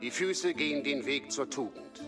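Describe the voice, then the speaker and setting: An actor playing obedient, honourable medieval knights and monks in short scripted game lines. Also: male voice, mid-range voice, normal speed, a bit bright, soft background music, natural voice. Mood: dutiful, solemn.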